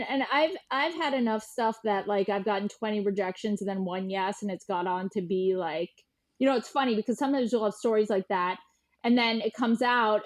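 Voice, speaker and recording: A woman chatting casually as a podcast guest, very informal, scattering filler words and acting out quoted remarks. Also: a little high. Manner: informal, peppers speech with "like", animatedly quotes others. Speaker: journalist and author, podcast guest. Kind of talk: casual chat.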